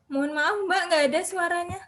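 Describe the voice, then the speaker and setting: Woman course instructor, high voice, brisk roll call on a video call. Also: fairly bright tone. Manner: brisk, calls names one by one. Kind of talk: online class roll call.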